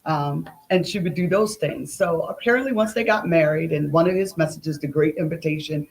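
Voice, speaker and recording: A woman's voice: sits low, a little fast, vocal fry, low-quality recording. A middle-aged woman talking conversationally as an interview guest, with an emphatic, folksy storytelling manner. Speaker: playwright and director, interview guest.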